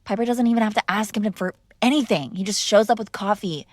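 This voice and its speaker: A woman's voice: medium pitch, fast, no echo, clean studio sound. A young woman performing an audio roleplay as a defiant, sarcastic mob boss's daughter arguing with her father. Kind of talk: scripted ASMR girlfriend roleplay.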